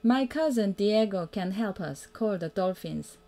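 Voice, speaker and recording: A woman reading a children's picture book aloud as a mother would, in a simple, deliberate way that invites the young listener to join in. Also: mid-range voice, expressive, warm tone. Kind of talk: read-aloud children's storytelling.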